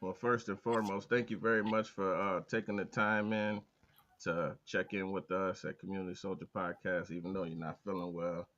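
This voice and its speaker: A man hosting a podcast, talking in a low-key, unhurried way with frequent filler words, with an American Midwest accent. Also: little pitch movement, fairly bright tone, dull audio. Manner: low-key, slow, hesitant with many "uh" fillers. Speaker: podcast host. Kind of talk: casual interview talk.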